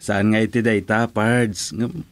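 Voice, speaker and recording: A man's voice: on the low side, steady tone, unhurried, no echo. A man acting a mild-mannered, courteous friend in an Ilocano radio drama. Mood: friendly, uneasy.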